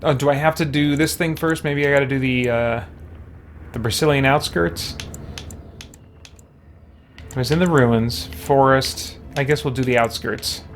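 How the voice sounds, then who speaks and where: A man's voice: mid-range voice, measured pace, pauses often, slight background noise. A man giving casual live commentary while playing a video game, thinking aloud and musing to himself as he goes.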